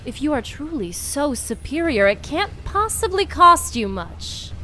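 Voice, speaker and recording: A woman's voice: high-pitched, sing-song, bright, forceful tone, exaggerated voice. Woman playing a formal, proud academic dean. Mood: proud, solemn. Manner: formal, measured, earnest. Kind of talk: comedic audio drama acting.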